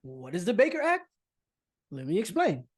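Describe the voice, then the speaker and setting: A man co-hosting a casual chat, calmly explaining background and backing up his partner. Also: high voice, normal speed. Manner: explanatory, adds context, affirming interjections. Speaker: podcast co-host.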